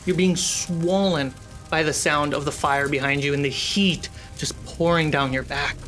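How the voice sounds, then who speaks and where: A woman's voice: sits low, fairly even intonation, normal speed, fairly bright tone, faint music behind. A woman narrating a tabletop roleplaying game as game master, with dramatic, vivid storytelling. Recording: noisy, with ambience behind.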